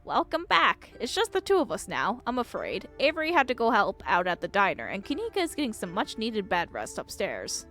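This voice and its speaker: A woman voicing a gentle, motherly shopkeeper woman in a visual novel, soothing and welcoming. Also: mid-range voice, huge pitch swings, brisk, hardly any pauses, soft background music.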